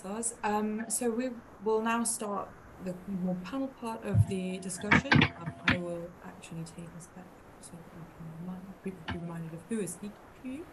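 A woman's voice: medium pitch, a little fast, hardly any pauses, heavy background noise. A woman moderating an online panel discussion on a video call, speaking politely and tentatively.

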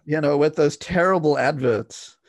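Brief: middle-aged man, mixed South African–Australian accent, gravelly, dry close sound, hesitant interview guest. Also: fairly high pitch, moderate pace, dry recording. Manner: hesitant, many fillers and restarts. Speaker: guest scholar. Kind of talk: conversational interview. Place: home room with bookshelves. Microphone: studio microphone on video call.

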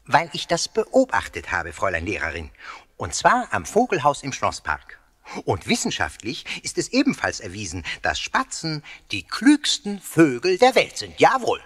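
An actor playing a cheeky, boastful, excitable little ghost in a children's radio play, huffy and given to stammers and whoops. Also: male voice, mid-range voice, normal speed, slightly rough, exaggerated voice.

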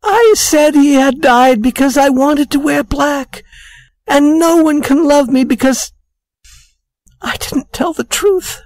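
A woman's voice: medium pitch, expressive, measured pace, pauses often, darkish tone, no echo, voice pushed up. A woman voicing an anxious young woman in a storybook, pleading and earnest with her sweetheart. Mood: tearful confession.

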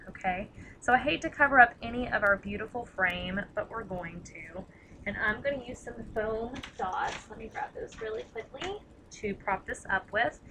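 A woman talks casually through a craft tutorial in a crisp, bright tone worn slightly raspy by a long day. She rambles warmly and pokes fun at herself as she works. A faint hiss sits under the voice. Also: medium pitch, low-quality recording. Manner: chatty, rambling, self-deprecating. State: tired, slightly hoarse. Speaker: craft demonstrator.

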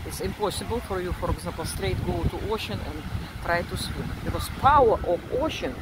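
Older woman, heavy Russian accent, lively up-and-down delivery, casual vlog into a phone by the sea, wind on the mic. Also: fairly low pitch, a little fast. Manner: chatty, repeats words for emphasis. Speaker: vlogger, psychiatrist. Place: outdoors by a windy beach. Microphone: phone at arm's length.

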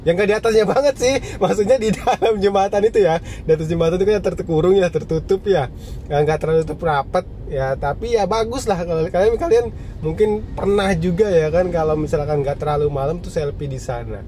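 A man's voice: high voice, brisk, mellow. A man narrating his night drive in a casual, chatty way, talking to viewers from inside a car. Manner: chatty, repeats "ya kan" and "teman-teman". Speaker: car vlogger. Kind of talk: casual narration while driving. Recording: clear car and road noise. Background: road and engine noise.

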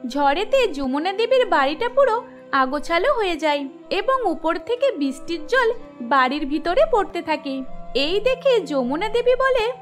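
A woman's voice: very high-pitched, sing-song, a little slow. A woman narrating a scripted cartoon story as its storyteller. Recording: music under the voice.

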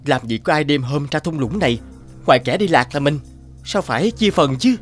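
A man's voice: fairly high pitch, expressive, normal speed, soft background music, natural voice. A man voicing a simple, greedy, easily amazed young peasant with an exclamatory manner in a children's cartoon fairy tale. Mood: greedy, dissatisfied. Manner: exclamatory, muttering, wondering.

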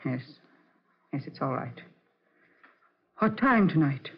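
A woman's voice: deep voice, expressive, slow, breathy edge, heavily acted. An actress playing a greedy female nurse, cool and pointed, in a 1940s radio drama. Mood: cool, demanding. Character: greedy, hard-edged female private nurse and accomplice. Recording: dull, muffled 1940s radio recording.

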